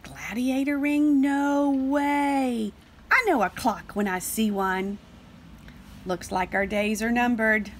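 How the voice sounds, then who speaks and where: A woman voicing chatty, sentimental cartoon counting numbers from a picture book, earnest and easily excited. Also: a little high, slow pace, a little hiss or hum. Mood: dismayed.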